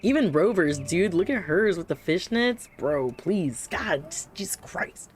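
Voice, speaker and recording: A woman's voice: lowish voice, lively intonation, a little slow. A woman streaming a video game, reacting casually in slangy, offhand talk. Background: game music.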